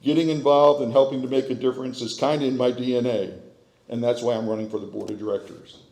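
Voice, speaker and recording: An American man in his sixties delivers a prepared speech from a podium in an echoey hall. His voice is mellow and mid-pitched. He speaks in a measured, earnest manner with clear emphasis. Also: steady tone. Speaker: board candidate giving a speech. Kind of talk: prepared public speech, read from notes. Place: large meeting hall with stage, indoors. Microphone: podium gooseneck microphone.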